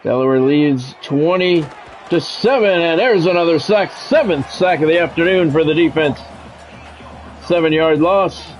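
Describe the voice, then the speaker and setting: An American man doing play-by-play commentary on a football game in short, clipped announcer phrases. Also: a little high. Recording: dull lo-fi sound, music behind.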